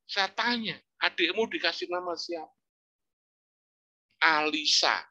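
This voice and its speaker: Bright-voiced older professor telling stories. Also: male voice, sits high, unhurried, lo-fi sound. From Indonesia. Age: 60s. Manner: storytelling, lively rising emphasis, religious exclamations. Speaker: psychology professor giving a talk. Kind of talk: informal religious study lecture.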